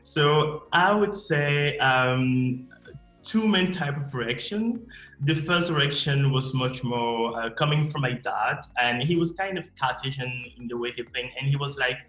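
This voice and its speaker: A man, answering interview questions in a measured, explanatory way with frequent restarts. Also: mid-range voice, a bit bright. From Cameroon. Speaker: MBA student guest. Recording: echoey room with faint music.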